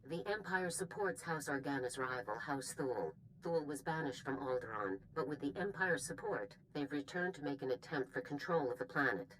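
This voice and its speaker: An actress playing a stern, brisk military general, giving a formal, authoritative mission briefing in a video game. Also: female voice, deep voice, lively intonation, a bit bright, a little hiss or hum, rough recording. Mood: businesslike briefing. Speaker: commanding officer.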